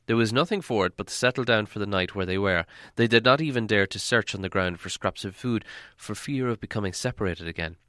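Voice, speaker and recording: A man narrating a storybook from a script in a dramatic, expressive storytelling manner. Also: fairly low pitch, a little fast, hardly any pauses, no room sound, clean studio sound. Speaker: audiobook narrator.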